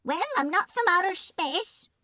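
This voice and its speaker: Storybook leprechaun played by a woman: tiny old Irish man, high-pitched, stubborn, short-tempered, recorded close and dry. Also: lively intonation, measured pace, bright, forceful tone, dry recording, full character voice. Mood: testy. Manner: lilting, emphatic, theatrical speech.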